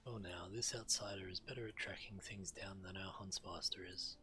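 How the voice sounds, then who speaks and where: Deep, very bright-voiced man over loud noise. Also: steady tone, normal speed. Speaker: game streamer. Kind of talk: reading game quest text aloud.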